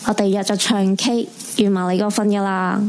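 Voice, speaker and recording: A woman's voice: medium pitch, fairly even intonation, moderate pace, close to an everyday voice. An actress playing a brash, bossy female clinic assistant, blunt and chatty, in a Cantonese radio drama. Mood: bossy, teasing. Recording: hissy, faintly noisy recording.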